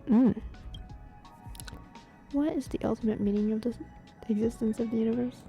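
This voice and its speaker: A woman's voice: on the high side, fairly even intonation, normal speed, warm tone. A young adult woman doing casual, laid-back, slangy commentary as a gaming streamer. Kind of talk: casual gameplay commentary, reading dialogue choices aloud. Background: faint game music.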